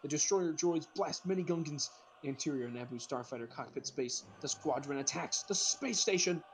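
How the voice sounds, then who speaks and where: A man's voice: fairly high pitch, lively intonation, moderate pace, slight background noise. A man narrating a script informally for an amateur comedy reading, sometimes stumbling over the words.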